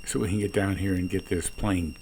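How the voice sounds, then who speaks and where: Male pilot narrator, deliberate and explanatory, low fry-edged delivery, small-plane cockpit with light noise. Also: steady tone, a little mellow. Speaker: private pilot narrating own flight. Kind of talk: calm explanatory cockpit commentary. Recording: noisy small-plane cockpit, radio transmissions.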